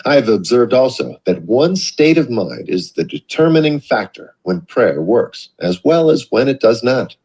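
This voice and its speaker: Reader: adult man, husky tone, lively pitch, steady read-along narration. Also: rough recording. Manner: measured, expressive reading. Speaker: audiobook reader.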